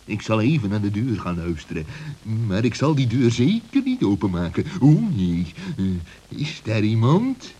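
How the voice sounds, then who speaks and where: A man voicing a sly, greedy fox in a children's radio play, with a husky, menacing and mocking manner. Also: lowish voice, big pitch swings, unhurried, dark tone, exaggerated voice. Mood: wary, irritable. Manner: menacing, mocking, swooping delivery with chuckles. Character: sly, greedy, bullying male fox villain.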